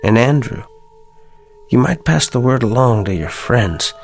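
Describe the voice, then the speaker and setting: Slick, smug American hustler, slightly gravelly. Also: male voice, medium pitch, lively intonation, measured pace, soft background music. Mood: smooth, persuasive. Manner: smug, insistent. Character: slick, boastful, pushy man on the make. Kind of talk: radio drama acting.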